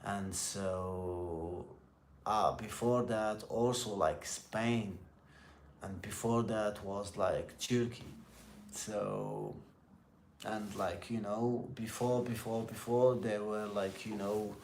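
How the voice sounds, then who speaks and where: A middle-aged man with an Algerian accent talks to live viewers in a low, creaky voice. His English is halting and circles back on itself as he shares casual motivational thoughts. The room has a noticeable echo around him. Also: steady tone. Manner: rambling, repetitive, halting second-language English. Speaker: livestream host. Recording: roomy echo with faint background noise.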